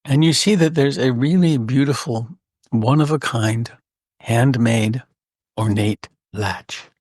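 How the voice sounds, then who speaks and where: A man leading a guided meditation as a teacher, speaking gently and unhurriedly with frequent pauses. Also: mid-range voice, no room sound, clean studio sound.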